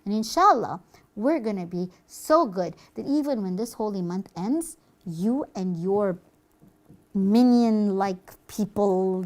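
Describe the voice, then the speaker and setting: A woman hosts a children's puppet show in dialogue form. She sounds earnest and measured. Her delivery is that of someone admonishing a puppet while teaching young listeners. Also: mid-range voice, darkish tone.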